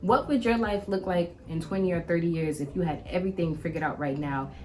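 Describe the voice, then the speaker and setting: A woman's voice: on the low side, fairly quick, soft background music, rough recording. A woman in her late twenties co-hosting a casual podcast, talking candidly and expressively and laughing often. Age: 20s. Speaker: podcast co-host.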